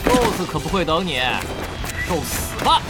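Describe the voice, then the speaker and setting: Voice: medium pitch, lively intonation, unhurried, close to an everyday voice. An actor playing an irritable, combative young online gamer in a Chinese audio drama, brash and exasperated. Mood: irritated. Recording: loud music behind the voice.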